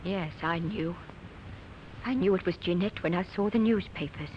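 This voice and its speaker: A woman's voice: lowish voice, moderate pace, close to an everyday voice. An actress playing a bitter middle-aged mother with a cold, lifeless, resentful manner in an old radio drama. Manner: flat, resentful. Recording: dull, noisy old radio recording.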